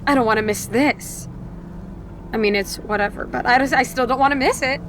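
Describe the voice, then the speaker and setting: Woman playing an offhand giantess, fairly high voice. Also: brisk, background noise, lightly acted. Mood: offhand curiosity. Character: casual giantess goddess, an older-sister minder. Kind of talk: audio drama character acting.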